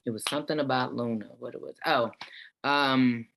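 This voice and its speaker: A woman's voice: a bass voice, fairly even intonation, normal speed, gravelly, fairly bright tone, poor audio. A woman actor in a casual discussion on a video call, informal and searching as she thinks aloud. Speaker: actor at a table read. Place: indoors on a virtual meeting.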